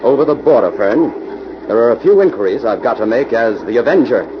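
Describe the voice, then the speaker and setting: An American man playing a composed, authoritative investigator in 1940s radio drama style, speaking deliberately. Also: mid-range voice, lively intonation, loud music behind the voice, lightly acted. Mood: composed, probing. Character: sharp, composed male investigator, the Avenger. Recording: noisy, dull old radio recording.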